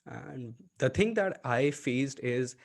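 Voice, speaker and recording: A podcast host, a man whose first language is Hindi, asks his questions in a mid-range voice. He hesitates often and fills the pauses with "uh" before he finds his words. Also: medium pitch, lively intonation, creaky. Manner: hesitant, many "uh" fillers and restarts. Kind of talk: casual podcast interview.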